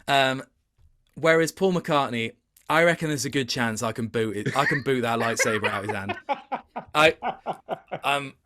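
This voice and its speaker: A man with a bright, forward voice and mid pitch talks casually as an interview guest. He rambles hesitantly, repeating words and interrupting himself as he goes. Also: normal speed, long pauses. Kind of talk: casual chat.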